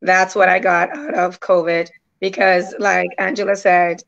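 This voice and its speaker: A woman's voice: on the low side, level tone, unhurried, creaky, a bit bright. A woman speaking earnestly as a guest in a conversational online panel, on dull lo-fi call audio.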